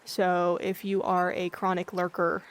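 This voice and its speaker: A livestreamer chatting casually with viewers in a monotone, blunt-sounding way, often losing their train of thought. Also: medium pitch, a little slow. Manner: rambling, loses track of thoughts. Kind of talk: casual chat with live viewers. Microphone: filtered streaming mic.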